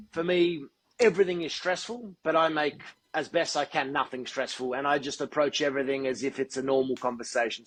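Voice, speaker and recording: A man being interviewed as a guest, speaking in a measured, articulate and deliberate way. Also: fairly high pitch, low-quality recording. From Australia. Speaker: guest, football TV presenter. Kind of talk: podcast interview.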